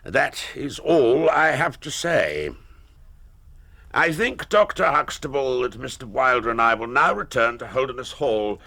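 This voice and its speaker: Gravelly, haughty English duke. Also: male voice, mid-range voice, animated, measured pace, sharp tone, dry recording, full character voice. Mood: haughty, dismissive. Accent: upper-class English. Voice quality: gravelly, husky. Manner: curt, formal, hesitant. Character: haughty, guarded English duke, stiffly courteous. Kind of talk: British period drama acting.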